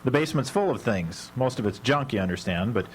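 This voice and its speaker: A man's voice: medium pitch, lively intonation, fairly quick, close to an everyday voice, poor audio. A man playing an earnest, aggrieved middle-aged husband in a live radio drama. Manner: earnest, defensive. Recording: live radio broadcast with hum.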